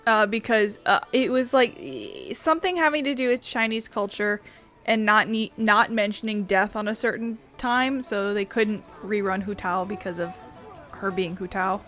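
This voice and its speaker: A woman giving casual, informal let's play commentary and reading game dialogue aloud, a little halting when she explains. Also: mid-range voice, fairly bright tone. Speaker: gaming YouTuber. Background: faint game music.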